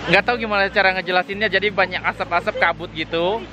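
A man's voice: high-pitched, fairly even intonation, fast, muffled recording, a crowd in the background, background noise. A young man hosting a casual travel vlog, speaking enthusiastically outdoors into a handheld camera. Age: young adult. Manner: enthusiastic, exclaiming. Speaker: vlogger, host. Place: outdoors at night in a hot spring town. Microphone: handheld camera at arm's length.

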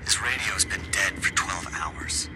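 A man's voice: deep voice, a little slow, noisy background. A man playing a guarded, dutiful team commander in a video game, speaking in terse, formal radio phrases. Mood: guarded, subdued. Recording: game music behind.

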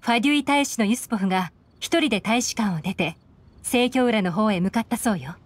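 A woman's voice: medium pitch, expressive, brisk, no room sound, lightly acted. A woman playing a composed, shrewd young intelligence chief who speaks with authority in an anime game. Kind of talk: Japanese anime game voice acting. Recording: game music behind.

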